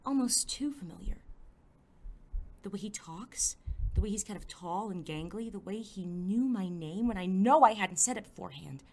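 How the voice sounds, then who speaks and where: A woman playing a blunt, sarcastic high school girl, incredulous and snarky, in a comedic audio drama. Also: animated, moderate pace. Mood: inner musing.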